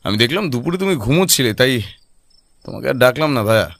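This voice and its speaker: A man playing a calm, wry detective in a Bengali audio story, measured and gently teasing as he explains. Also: medium pitch, no echo. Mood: casual, wry. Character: calm, wry male detective, dryly teasing his friend.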